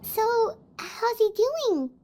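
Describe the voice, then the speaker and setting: Squeaky, very high childlike companion. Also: female voice, very animated, drawn-out words, dark tone, exaggerated voice. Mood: curious. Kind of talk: video game voice acting.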